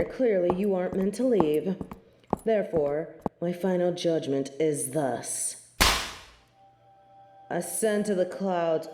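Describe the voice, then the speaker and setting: A woman playing a snobbish, merciless angel of judgement in an audio drama, speaking formally with imperious disdain. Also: low voice. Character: haughty, merciless female angel of judgement, snobbish and self-righteous. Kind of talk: scripted audio drama acting. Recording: roomy, echoing sound.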